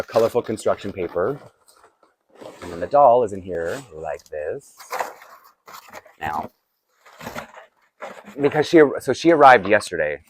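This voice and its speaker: A man with a low, groggy voice talks casually as if showing items to viewers. He is chatty and wanders between thoughts, often cutting himself off with little asides. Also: a little hiss or hum. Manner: chatty, self-interrupting asides, chuckling. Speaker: doll collector and unboxing vlogger. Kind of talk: casual rambling unboxing chat.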